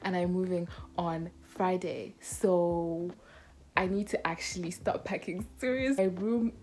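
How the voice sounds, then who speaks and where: A woman's voice: on the low side, fairly even intonation, measured pace, a little mellow, slight background noise. A woman in her twenties chatting casually to her vlog in an informal, emphatic way, recorded on a phone at arm's length in a small bedroom. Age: 20s. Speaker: vlogger, university student.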